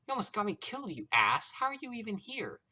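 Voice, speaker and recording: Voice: mid-range voice, wildly swooping pitch, moderate pace, a bit bright, husky, pitched above the performer's own voice, heavily acted. A man voicing a furious, fed-up and defiant woman in a story, snapping sharply and emphatically. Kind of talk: character voice in narrated story.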